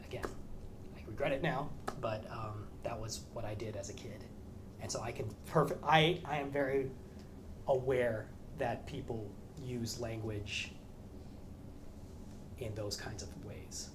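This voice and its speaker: A man in his thirties answering audience questions, thinking aloud with frequent restarts. His voice sits at an ordinary middle pitch. The lecture-room recording carries a clear layer of noise. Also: measured pace. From American Midwest. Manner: thoughtful, hesitant, many restarts. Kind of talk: academic Q&A talk.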